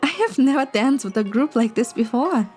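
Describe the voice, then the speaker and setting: Woman acting affectionate young heroine, high mellow voice, soft, earnest, romantic audio drama. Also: expressive, moderate pace. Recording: music behind the voice.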